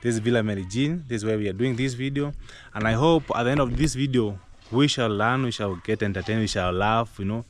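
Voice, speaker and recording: A man's voice: mid-range voice, a little fast. A young Kenyan man hosting a casual village vlog, chatty and upbeat, repeating his phrases. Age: young adult. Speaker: vlog host. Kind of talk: casual outdoor vlog chat. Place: village compound outdoors. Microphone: action camera, no mic. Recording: noisy outdoor camera audio.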